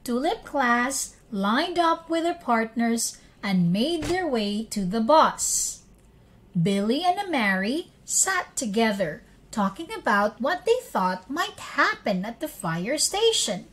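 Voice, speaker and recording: A woman reading a children's storybook aloud as narrator, in an expressive, deliberate way for young listeners. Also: on the high side, low-quality recording.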